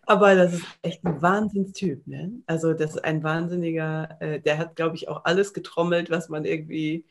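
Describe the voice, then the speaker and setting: A woman's voice: low voice, fairly even intonation, moderate pace, few pauses. A woman in her thirties chatting casually as an interview guest on a video call from a room indoors.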